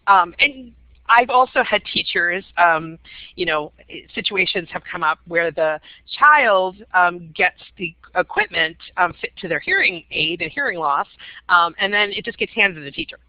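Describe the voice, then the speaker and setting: A woman's voice: lowish voice, animated, moderate pace, no room sound. Woman presenter with many fillers, dry dull audio. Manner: conversational, frequent "um" fillers. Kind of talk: webinar presentation.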